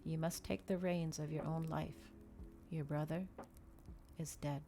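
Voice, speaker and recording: A woman voicing a solemn, eloquent male vampire in a measured, formal storybook reading. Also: low-pitched, steady tone, mellow, soft background music. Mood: grave, commanding.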